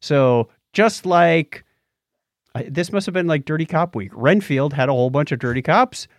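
A man speaking as a podcast co-host, giving an unscripted review in a halting, conversational way that often restarts phrases. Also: on the high side, animated, no echo. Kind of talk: casual unscripted review chat.

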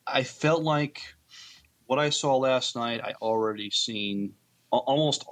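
Mid-pitched man co-hosting casually. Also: unhurried. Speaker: podcast co-host. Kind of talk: casual podcast discussion.

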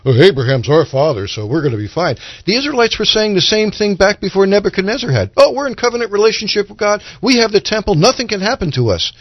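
A man's voice: high voice, very animated, quick pace, few pauses, no echo. A man speaking as a guest commentator in a radio Bible discussion, explaining didactically and quoting scripture. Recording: slightly dull, band-limited radio sound.

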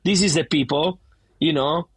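A man's voice: a little high, moderate pace, slightly rough, no room sound. A middle-aged man from Italy with a thick Italian accent, a bar owner talking animatedly and emphatically in a casual interview, often restarting his sentences.

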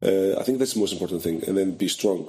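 A middle-aged man from Brazil with a Brazilian Portuguese accent and Portuguese as his first language, telling stories animatedly as an interview guest in halting second-language English and acting out the voices he quotes. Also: low voice, a little mellow, slightly rough. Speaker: guest, photographer. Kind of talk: casual conversational interview.

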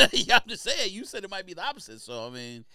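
Dude on a podcast talking fast, lively pitch, teasing and slangy, casual chat, dry close sound. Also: male voice, no echo. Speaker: podcast co-host. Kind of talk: casual banter.

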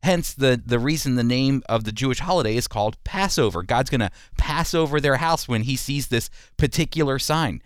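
A man's voice: medium pitch, animated, normal speed, hardly any pauses, clean tone, no room sound, clean studio sound. A man hosting a radio program, teaching the Bible and commenting in an earnest, emphatic, explanatory way.

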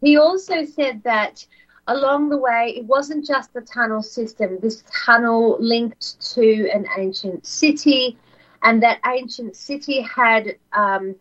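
A woman co-hosting a podcast, English as her second language, telling a story in a soft voice with many ums and repeated words. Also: on the high side, slow pace. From not said; lives in Australia. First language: not English. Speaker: co-host. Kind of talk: casual podcast chat, storytelling. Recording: dull lo-fi audio.

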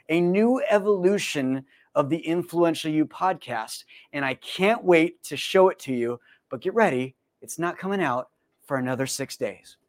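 An American man hosting a podcast, upbeat and joking with his guest before reading a brisk outro. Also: fairly high pitch. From United States. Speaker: podcast host. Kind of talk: casual podcast chat and read outro.

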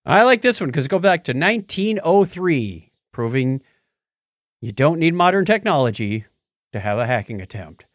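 A man's voice: fairly high pitch, sing-song, moderate pace, dry recording, studio quality. A man hosting a podcast, casually bantering and reading out odd news stories with jokey asides. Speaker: podcast host.

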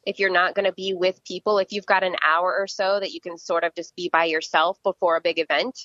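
A woman speech-language pathologist talks through voice exercises in an easy, explanatory way, with plenty of fillers. Her voice sits a little low. The recording is dry and slightly muffled. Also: fairly quick, no echo. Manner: explanatory, steady, many fillers. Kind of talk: casual interview answers.

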